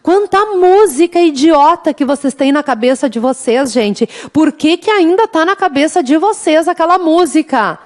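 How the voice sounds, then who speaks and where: A woman's voice: high voice, lively intonation, moderate pace, few pauses. A woman from the interior of Rio Grande do Sul with a southern Brazilian accent, teaching an online law exam prep class in a chatty, teasing way. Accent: interior Rio Grande do Sul Brazilian Portuguese. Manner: chatty, teasing, addresses students warmly as "gente". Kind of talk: lively online class, singing mnemonic samba.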